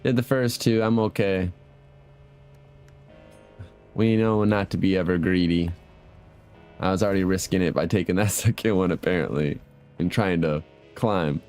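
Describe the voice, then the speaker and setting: Deep-voiced man, casual gameplay commentary. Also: moderate pace, long pauses, darkish tone, faint music behind. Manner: profane, muttering asides to the game. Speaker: game streamer.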